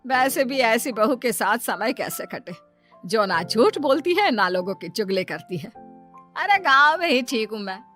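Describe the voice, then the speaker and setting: A woman voicing a sulky, scolding older mother-in-law in a cartoon story, sharp and nagging. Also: a little high, very animated, measured pace, faint music behind.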